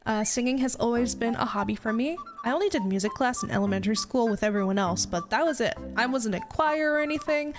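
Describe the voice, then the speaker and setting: High-pitched young American woman, music behind. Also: animated, quick pace, hardly any pauses. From Ohio, United States. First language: Spanish. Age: 20s. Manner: playful, giggly, self-deprecating. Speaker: VTuber streamer introducing herself. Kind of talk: casual chatty self-introduction.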